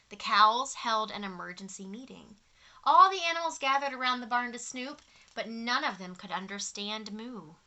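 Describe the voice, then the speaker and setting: A woman's voice: mid-range voice, pushed and bright. A woman reading a picture book aloud to children expressively, in simple phrasing, as a storytime reader. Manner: asks young listeners questions, simple clear phrasing.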